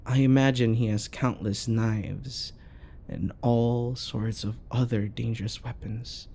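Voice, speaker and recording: A man acting a possessive, jealous yandere boyfriend in an intimate ASMR roleplay, coaxing and passive-aggressive with sweet pet names and quiet menace. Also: mid-range voice, fairly even intonation, takes it slowly, creaky, slight background noise. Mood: jealous, controlled.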